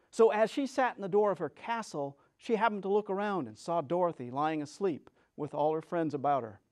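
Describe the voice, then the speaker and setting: A man with a notably high voice reads a children's story aloud. His delivery is theatrical and expressive, that of a storyteller giving a dramatic reading. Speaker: storyteller, reader.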